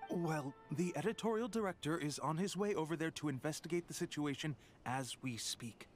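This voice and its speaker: A man's voice: fairly high pitch, brisk, soft background music, natural voice. A man playing a polite, attentive publishing employee in a video game. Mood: polite, businesslike. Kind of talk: video game cutscene dialogue.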